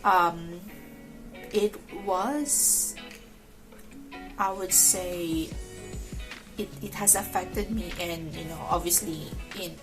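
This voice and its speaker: A young woman speaks slowly and haltingly from a couch at home, her somewhat low voice rough and hoarse from a lingering cough. Clear music plays behind her as she talks. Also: rough recording. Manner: slow, hesitant, many ums and restarts. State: recovering from illness, dry cough. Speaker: ICU nurse vlogger. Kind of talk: casual vlog monologue.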